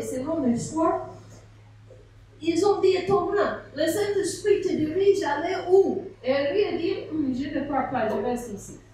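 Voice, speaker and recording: Woman pastor, slow sermon, higher voice, echoey hall from far off. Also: slight background noise. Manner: animated storytelling with rhetorical questions. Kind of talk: sermon at a seminar. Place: large echoey church hall.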